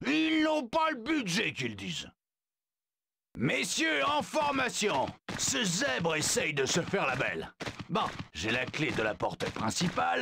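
A man voicing a blustering, gloating male zoo guard barking orders, in a video game dub, with a gravelly voice. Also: sits high, noisy background, heavily acted.

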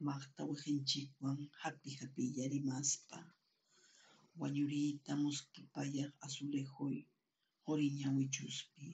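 Low-key older Andean Peruvian woman, flat intonation. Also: takes it slowly, lo-fi sound, slight background noise. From Andean Peru. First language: Quechua. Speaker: poet. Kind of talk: introducing and reading own poetry. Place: small plain room indoors.

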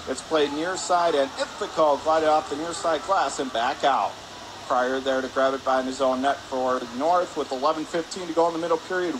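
High-voiced man calling hockey play-by-play, noisy rink. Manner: clipped running phrases, rising with the action. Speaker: play-by-play announcer. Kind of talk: live ice hockey radio commentary. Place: indoor ice rink stands. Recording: clear rink noise behind.

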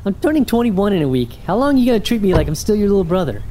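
A woman's voice: medium pitch, big pitch swings, racing, warm tone, slight background noise, lightly acted. A woman playing a nervous young man. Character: young man nearly twenty-one, eager and polite. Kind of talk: radio horror drama.

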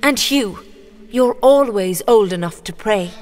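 Woman playing pious gentle mum from a medieval radio play, slow careful speech, lively pitch, firm. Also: lightly acted. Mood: tender, devout. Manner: tender, firm, deliberate. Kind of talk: historical radio drama acting.

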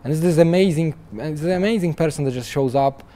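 Mellow-voiced young man, halting and chatty. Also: on the high side. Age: young adult. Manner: chatty, restarts often with repeated words, laughs lightly. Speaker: guest software developer. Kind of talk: conversational interview answers. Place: conference interview booth, seated in armchair.

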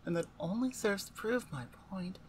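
A woman voicing a blunt, domineering orc shaman, an older sister, in a deliberate, condescending ASMR roleplay. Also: mid-range voice, slight background noise, natural voice. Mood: stern, scornful. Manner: deliberate, pointed, condescending, interrogating. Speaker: audio roleplay voice actor. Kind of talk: ASMR roleplay, one-sided dramatic dialogue.

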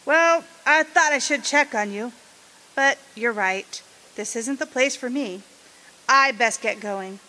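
Woman playing a prim, high, bright-voiced scolding mother. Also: takes it slowly, rough recording. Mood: softened. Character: stern, judgmental old-fashioned mother, prim and resentful. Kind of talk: radio drama acting.

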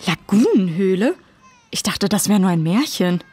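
A woman's voice: medium pitch, very animated, moderate pace, dark tone, husky. A woman playing a polite, worried mother in a children's audio drama.

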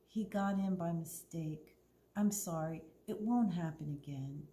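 A woman voicing a bold, earnest young girl who calls out in bright, eager bursts. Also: lowish voice, a little slow, natural voice. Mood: apologetic. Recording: roomy echo.

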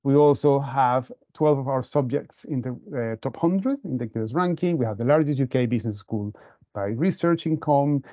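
A man's voice: medium pitch, expressive, normal speed, mellow, no echo, muffled recording. A man from Venezuela with a Venezuelan Spanish accent, presenting his university over a video call with eager enthusiasm and frequent restarts. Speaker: university international officer.

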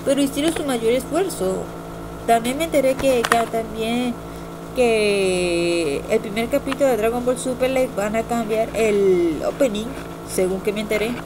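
A woman's voice: fairly high pitch, unhurried. A young woman giving a casual opinion vlog in a rambling, hesitant way, often repeating herself. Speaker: amateur video reviewer. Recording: noisy amateur home computer recording.

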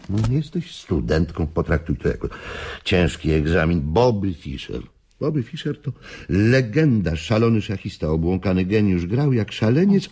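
Excitable old-school chess manager, deep gravelly voice, theatrical, repetitive, radio drama. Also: male voice, full character voice. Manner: emphatic, repeats himself, theatrical. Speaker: chess coach.

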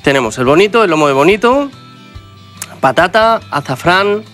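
A man's voice: high voice, expressive, moderate pace, fairly bright tone, faint music behind. A middle-aged man with a Spanish accent, a chef hosting a TV cooking show, explaining ingredients to viewers in a studio kitchen. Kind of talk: TV cooking demonstration.

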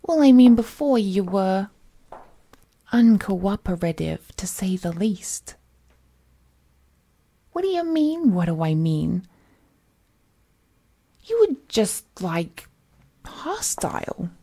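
A woman's voice: medium pitch, big pitch swings, slow pace, long pauses, mellow, exaggerated voice. A woman playing a cheerful, possessive villainess kidnapper in a roleplay audio drama, cooing and coaxing the listener in folksy slang. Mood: teasing, pleased. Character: cheerful, possessive female supervillain kidnapper, doting and unhinged.

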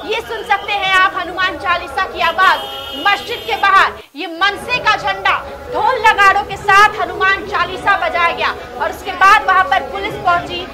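Female news anchor, very high voice, urgent emphatic breaking news delivery over music and crowd noise. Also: steady tone, moderate pace, few pauses. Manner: emphatic, repeating phrases for emphasis. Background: loud music and crowd sounds.

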